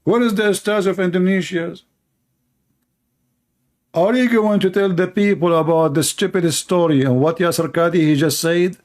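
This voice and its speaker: A man's voice: sits high, fairly even intonation, moderate pace, a little mellow. A man, hosting a commentary show and mocking video clips in a sarcastic, rambling way with many fillers.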